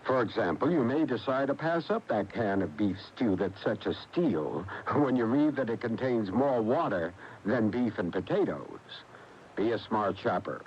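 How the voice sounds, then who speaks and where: A man with an American accent delivers folksy, scripted consumer advice with very lively intonation in a fairly low voice. It plays from a muffled old AM radio tape. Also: normal speed, slight background noise. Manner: folksy, instructive. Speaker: public service announcer.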